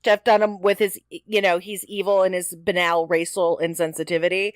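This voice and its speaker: A woman's voice: lowish voice, level tone, moderate pace, no room sound, clean studio sound. A woman co-hosting a podcast, talking in casual, conversational discussion. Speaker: podcast co-host. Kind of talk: casual chat, TV episode discussion.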